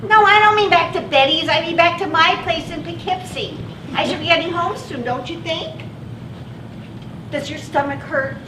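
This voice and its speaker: A woman's voice: very high voice, normal speed. An actress playing a childlike, confused and needy woman in a stage play, with a plaintive, questioning manner. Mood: anxious. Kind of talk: live stage play acting. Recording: distant, echoey, noisy stage recording.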